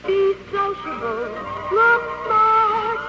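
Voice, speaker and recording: A woman's voice: very high-pitched, big pitch swings, drawn-out words, lo-fi sound, faint music behind. A woman singing a 1950s radio advertising jingle.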